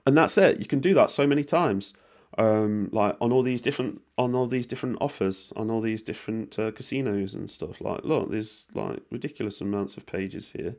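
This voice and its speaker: A British man talks casually through a screen recording, his voice hoarse and worn from a cold. His pitch sits on the low side, and he rambles with plenty of ums and the odd swear word. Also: moderate pace, darkish tone. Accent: British English. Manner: rambling and informal, with swearing and many fillers. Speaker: vlogger explaining money-making offers.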